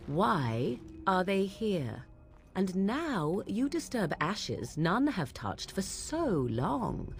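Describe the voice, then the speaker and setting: A woman voicing an aloof, mocking young witch who speaks in a haughty, archaic way, in a video game. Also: mid-range voice. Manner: haughty, archaic speech, slow and measured.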